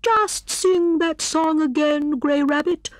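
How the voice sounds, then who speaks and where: A woman voicing a wise, sleepy old male owl in a children's storybook, with drawn-out, stately hooting speech. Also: high-pitched, fairly even intonation, dry recording, voice pushed up. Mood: kindly, stately.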